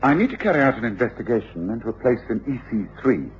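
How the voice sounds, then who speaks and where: Guy acting british gentleman detective, old radio play, commanding n precise, lively intonation, muffled recording w some hiss. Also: medium pitch, fast talker, lightly acted. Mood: brisk, purposeful. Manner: precise, authoritative, articulate. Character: shrewd, commanding gentleman detective, gravely purposeful. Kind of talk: 1950s-60s radio drama acting.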